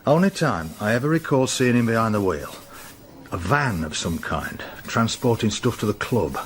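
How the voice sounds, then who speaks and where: An actor in a radio drama playing a probing senior police inspector, wry and terse. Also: male voice, lowish voice, lively intonation, moderate pace, close to an everyday voice. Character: dry, probing male police inspector, thoughtful senior officer. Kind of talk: naturalistic radio drama acting.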